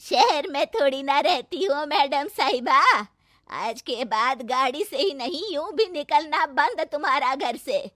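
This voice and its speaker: A woman voicing a traditional old village mother-in-law in an animated story, with a sarcastic, drawn-out manner. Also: high voice, no room sound, studio quality, exaggerated voice. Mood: angry. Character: scolding, traditional old village mother-in-law, tender toward her son. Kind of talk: animated moral story acting.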